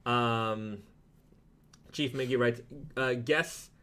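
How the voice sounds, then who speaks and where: A man hosts a casual live stream with a bright, crisp-edged voice of average pitch. He talks through products in an easygoing, rambling way, like loose conversation. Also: very slow.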